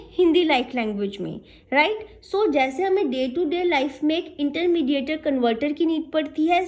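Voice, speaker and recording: High-pitched woman teaching. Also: darkish tone. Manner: asks and answers own questions, frequent check-in tags; mixes English technical terms into speech. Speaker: programming teacher. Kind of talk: explanatory classroom lecture.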